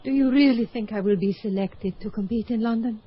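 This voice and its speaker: Husky, earnest young Russian daughter. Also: female voice, a little high, a little fast. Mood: hopeful, confiding. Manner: pleading, formal phrasing. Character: earnest, anxious young Russian skater, devoted but defiant daughter. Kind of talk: radio drama acting. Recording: muffled lo-fi radio broadcast.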